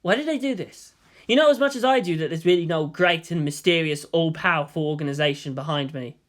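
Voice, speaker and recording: A high-pitched teenage boy from an audiobook tale, put on by a male narrator. He sounds put-upon and sarcastic, a weary reluctant mastermind given to groaning complaint. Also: a little fast, natural voice. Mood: weary, questioning. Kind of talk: dramatized audiobook dialogue.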